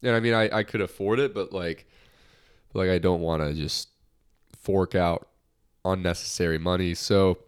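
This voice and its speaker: A man's voice: sits low, steady tone, normal speed, pauses often, clear, resonant tone. A young man hosting his own podcast in a lighthearted, rambling way, slightly nasal from a recent cold. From United States. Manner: lighthearted, rambling, many restarts. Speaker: podcast host. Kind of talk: casual solo podcast talk.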